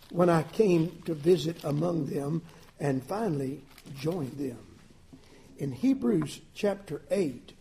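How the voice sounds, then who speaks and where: A man preaching a sermon with folksy asides, swinging between loud emphatic lines and soft drawn-out phrases. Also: fairly high pitch, vocal fry.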